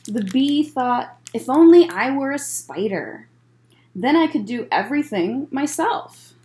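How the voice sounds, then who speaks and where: Animated, high-pitched woman reading to children. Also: unhurried. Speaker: children's librarian reading at story time. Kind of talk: picture-book read-aloud with chatty asides to children. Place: library room, indoors.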